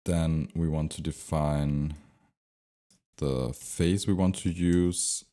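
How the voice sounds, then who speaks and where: A man with a very deep, mellow voice presents a software tutorial at a slow pace. He explains step by step without a script, hesitating now and then as he thinks out loud. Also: fairly even intonation.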